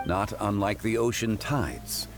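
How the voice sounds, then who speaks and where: An actor playing a calm, refined, erudite gentleman in a video game, with composed, eloquent and formal speech. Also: on the low side, unhurried, soft background music. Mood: reflective.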